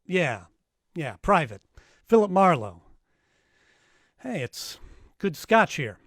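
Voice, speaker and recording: A man's voice: high-pitched, very animated, slow, a bit bright, no room sound, studio quality, exaggerated voice. A man playing a wisecracking, dryly sardonic private eye in an old-time radio detective play. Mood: dry, bargaining. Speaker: actor in live radio play, also narrating.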